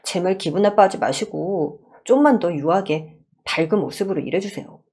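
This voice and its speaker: A woman's voice: on the low side, normal speed, a little mellow, husky. A woman voicing a meek, earnest young son-in-law in a story drama, polite and hesitant. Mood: pleading.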